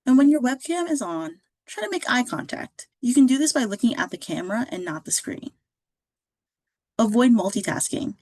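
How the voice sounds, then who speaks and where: A woman reading a scripted instructional narration as a career services presenter, in a clear, measured, instructive way. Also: fairly high pitch, very animated, darkish tone, no echo.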